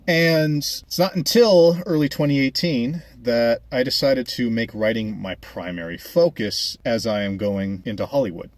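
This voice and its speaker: A man's voice: medium pitch, a little slow, few pauses, no echo. A young adult man talking casually over a speedpaint video, chatty and rambling with many fillers. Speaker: animator and vlogger.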